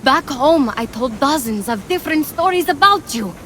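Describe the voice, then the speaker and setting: High-pitched eager young woman. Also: lively intonation, moderate pace, fairly bright tone, a little hiss or hum. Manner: eager, wry. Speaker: game protagonist. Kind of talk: video game dialogue. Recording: game audio with music underneath.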